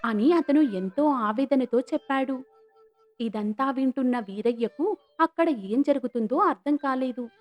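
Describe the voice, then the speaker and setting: A woman's voice: high voice, a little slow, darkish tone. A woman reading scripted moral-story narration in a storytelling role, over music. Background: background music.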